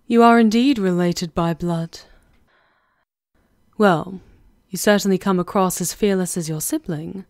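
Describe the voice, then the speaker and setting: A woman acting a composed, sly witch conspirator with a measured, knowing manner in an ASMR fantasy roleplay. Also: on the low side, a little mellow, no echo, clean studio sound. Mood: sly, reassuring. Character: composed, sly female witch conspirator plotting against a countess.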